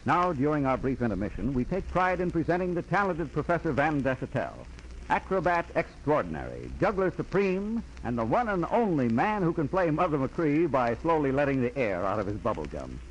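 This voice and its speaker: Male announcer, higher-pitched, lively intonation, mock-grand, scripted 1940s radio banter, vintage noisy recording. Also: brisk, lo-fi sound.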